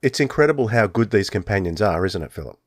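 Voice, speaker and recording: A man's voice: on the low side, expressive, fast, no echo, clean studio sound. A man hosting a fan podcast in casual, enthusiastic chat. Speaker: podcast host.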